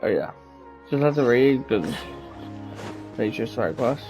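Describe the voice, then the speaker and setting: A man chatting casually as he plays a game, muttering in a halting way. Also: medium pitch, lively intonation, a little mellow, soft background music, rough recording. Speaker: streamer playing a game. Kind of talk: casual gameplay chat.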